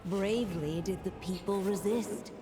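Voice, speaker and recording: A woman voicing a gentle, solemn storybook narrator in a video game, telling a fairy tale in a measured way, with reverb and music. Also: medium pitch, close to an everyday voice. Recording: long reverb, music behind.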